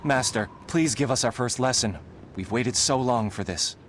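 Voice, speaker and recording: A man's voice: medium pitch, normal speed, fairly bright tone, faint music behind, natural voice. A man voicing a devoted, overeager young martial arts disciple in a video game, earnest and grandiose. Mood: reverent, proud. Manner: grandiose, theatrical, fawning. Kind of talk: video game character acting.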